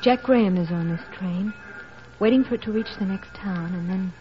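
A woman's voice: medium pitch, moderate pace, soft background music, natural voice. An actress playing an earnest, anxious, determined teenage girl in 1940s radio drama, pleading and melodramatic. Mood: urgent, determined. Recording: muffled, slightly noisy 1940s radio broadcast.

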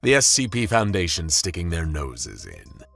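A man doing a booming, gravelly toy tycoon. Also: huge pitch swings, normal speed, a bit bright, soft background music, heavily acted. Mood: scornful. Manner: booming, theatrical, demanding. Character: imperious male toy-company boss in a top hat.

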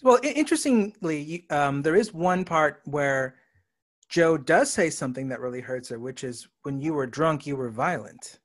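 A man's voice: on the high side, expressive, moderate pace. A man interviewing an actress, asking probing questions about her character. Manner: brief encouraging backchannels, probing questions. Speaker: writer-director interviewing.

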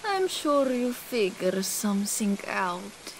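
A woman's voice: medium pitch, big pitch swings, slow, a little hiss or hum, poor audio. A woman playing a mysterious, mercenary Russian in an audio drama, with a Russian accent and a cool, cryptic, terse manner. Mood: cryptic, guarded.

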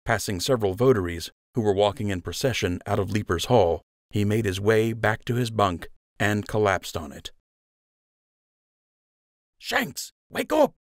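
A man's voice: fairly low pitch, moderate pace, dry recording, studio quality. A man narrating an audiobook story in a scripted storytelling style.

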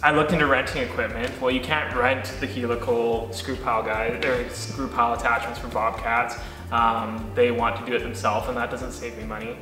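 A man's voice: medium pitch, level tone, moderate pace, roomy sound, in a large hall, over music. A man in his thirties co-hosting a home DIY vlog, casually explaining building steps in plain terms. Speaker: co-host. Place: home studio, indoors, seated beside co-host.

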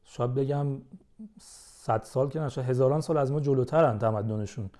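A man's voice: mid-range voice, warm tone. A man speaking as a podcast guest, explaining things in casual conversation.

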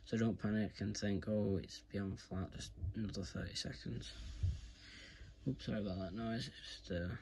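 Deep-voiced British man, casual how-to. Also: steady tone, normal speed, darkish tone. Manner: informal, rambling, repetitive. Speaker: video presenter giving a how-to demonstration. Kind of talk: casual hands-on tutorial. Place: indoors at home, carpeted room. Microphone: phone camera filming his hands. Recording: noisy home phone recording.

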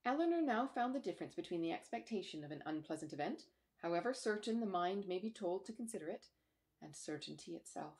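A woman in her forties reading literary fiction aloud in a measured, formal storytelling manner. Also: lowish voice, animated, a little mellow. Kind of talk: audiobook-style literary narration. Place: small room at home by a window.

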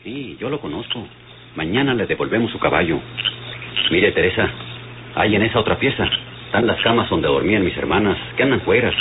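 An actor playing a loyal, practical country outlaw's companion in an old radio drama, with plain rustic talk. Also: male voice, low-pitched, lively intonation, fairly quick. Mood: concerned, obliging. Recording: tinny, noisy old radio recording.

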